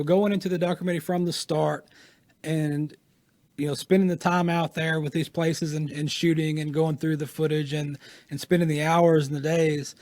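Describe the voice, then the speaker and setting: Halting male talk-show host. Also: fairly high pitch, darkish tone, no room sound. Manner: hesitant, halting questions. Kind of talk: casual interview.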